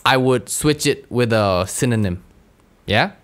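A man's voice: medium pitch, lively intonation, unhurried. A man co-hosting a podcast in casual chat, who stammers, restarts sentences and searches for words as he talks. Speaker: podcast co-host.

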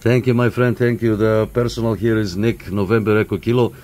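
A man's voice: lowish voice, little pitch movement, brisk. A man working as a CB radio operator and host, making contacts with call signs and casual chat. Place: indoor room by CB radio sets. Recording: clear background static and radio hiss. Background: radio static.

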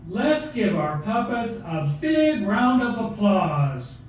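A jolly old Santa puppet played by a man hosts a children's Christmas show in a jovial, high voice. It carries from far off across a very echoey hall. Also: big pitch swings, slow, background noise, full character voice. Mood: announcing. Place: theatre, behind puppet stage curtain. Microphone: far camera.

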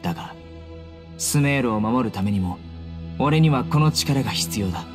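A man acts out a young guardian warrior from an anime game, grave and resolute. He speaks in measured, formal, blunt phrases at a middling male pitch, with music playing behind him. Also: animated, natural voice.

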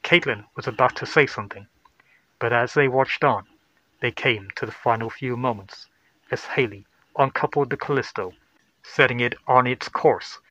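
A man's voice: medium pitch, sharp tone, no room sound, dull audio. A man narrating a scripted sci-fi horror story.

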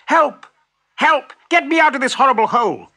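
A storyteller voicing a greedy, panicky, indignant male fox in a children's story, yelling urgently. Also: sits high, expressive, moderate pace, pitched above the performer's own voice, heavily acted. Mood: panicked.

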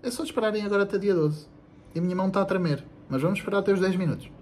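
A young adult man with a European Portuguese accent speaks casually to camera as a video creator. His delivery is animated, blunt and slangy, with swearing and emphatic repetition. He records himself at arm's length in a small room at home. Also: high voice, rapid-fire, a little hiss or hum. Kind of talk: casual vlog announcement to camera.

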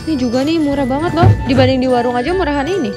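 A woman's voice: high voice, rapid-fire. A young woman chatting casually as she films a shopping vlog, upbeat and explaining things as she goes. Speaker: vlogger. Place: supermarket, then home dining room. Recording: background music.

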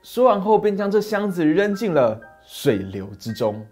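A middle-aged man tells a fairy tale with dramatic, animated delivery. He is recorded on a lapel mic in a small study, with faint music underneath. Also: high voice, moderate pace. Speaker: storyteller host. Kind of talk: scripted fairy-tale narration. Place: small dim study set.

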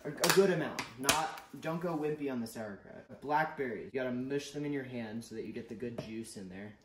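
A man's voice: mid-range voice, brisk, heavy background noise. A teenage boy hosting a mock cooking show at home, joking sarcastically about gross ingredients. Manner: sarcastic, jokey, playfully enthusiastic about gross food. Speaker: co-host cooking. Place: home kitchen, indoors. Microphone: camera across the counter. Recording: echoey kitchen, clattering pots.

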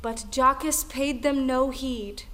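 A woman narrating a folktale audio play with deliberate, dramatic storytelling. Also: high voice, steady tone, sharp tone, exaggerated voice. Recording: music under the voice.

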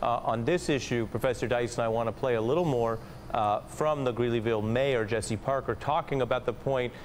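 A man in his thirties anchoring live cable news from a studio, asking measured, formal questions of his guests. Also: mid-range voice, slight background noise.

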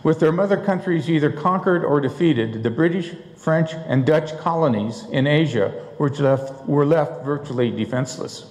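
A man's voice: on the high side, animated, a little mellow. Older man lecturing in a reverberant hall. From United States. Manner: measured reading with restarts and fillers. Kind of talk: public history lecture read from notes. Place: large auditorium stage at a lectern. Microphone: lectern mic at a distance.

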